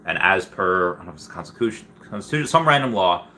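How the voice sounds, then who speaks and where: A man's voice: low-pitched, expressive, quick pace, sharp tone, slight background noise. A man from Peru hosting a casual livestream, reading out and answering viewer comments in a rambling, stop-start, slangy way. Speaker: livestream host.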